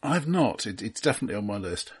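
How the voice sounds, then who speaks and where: A man co-hosting a film podcast, casually explaining his observations with halting, repeated starts. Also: fairly low pitch, very animated, slightly rough.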